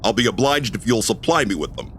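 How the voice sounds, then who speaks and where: A commanding male space captain played by an actor, mid-pitched and lively in inflection, with faint noise behind. He is official, brisk and easily exasperated, in a retro sci-fi radio parody. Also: close to an everyday voice. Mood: official, firm. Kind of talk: 1950s science-fiction audio drama parody.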